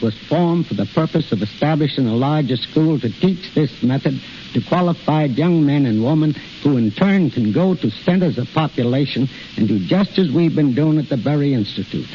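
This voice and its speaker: Earnest formal man on hissy old radio. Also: fairly high pitch. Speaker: institute head, guest speaker. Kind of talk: formal scripted radio interview. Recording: hissy, muffled 1930s radio recording.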